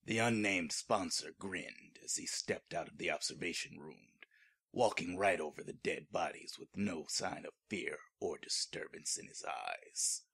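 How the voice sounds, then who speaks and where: Deep, husky horror narrator played by a man. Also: measured pace, fairly bright tone, exaggerated voice. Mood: grave, dramatic. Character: grave male narrator of a horror tale. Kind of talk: dramatic scripted narration.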